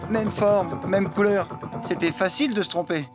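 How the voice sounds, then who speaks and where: A man's voice: sits high, moderate pace, over music, lightly acted. A man acting a good-natured, forgetful young farmhand in a French children's cartoon dub, with a sheepish, hesitant manner. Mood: sheepish, flustered.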